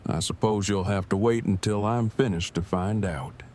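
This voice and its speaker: A man playing a stiff, low-voiced pedantic inventor. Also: moderate pace, background noise, close to an everyday voice. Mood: measured, earnest. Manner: formal, verbose, precise wording. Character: Eugene, stiff, pedantic, awkward but earnest inventor man. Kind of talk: fan audio drama acting.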